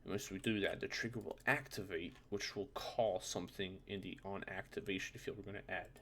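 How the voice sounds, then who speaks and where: A man who is a non-native English speaker, presenting a casual, unscripted software tutorial in an informal, self-deprecating way with muttered asides. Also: sits low, steady tone, a little fast, a little hiss or hum. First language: not English.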